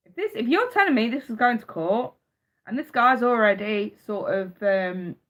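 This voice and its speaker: A woman's voice: on the high side, animated, normal speed, fairly bright tone. A woman with a stuffy nose from a cold, giving a blunt, fired-up live tarot reading and swearing freely. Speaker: tarot reader.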